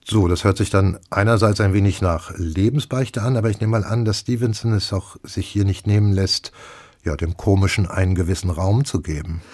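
Guy hosting book chat on radio, low husky voice, conversational. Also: a little fast, a little mellow. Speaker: radio host. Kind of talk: conversational book discussion with prompting questions.

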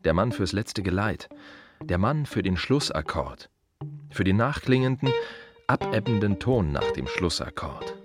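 A deep-voiced, musing gravedigger. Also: male voice, big pitch swings, a little slow, over music. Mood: wry. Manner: musing, colloquial, short fragmentary phrases with repetitions. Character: plain-spoken, reflective male city gravedigger at peace with work. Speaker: actor in a radio play monologue. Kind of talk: reflective radio drama monologue.

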